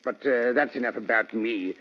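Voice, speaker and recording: An actor playing a genial, fussy older English gentleman storyteller in old radio drama, with a British accent and chatty, old-fashioned phrasing. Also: medium pitch, normal speed, close to an everyday voice. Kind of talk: 1940s radio drama acting. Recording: muffled old radio broadcast, reverberant.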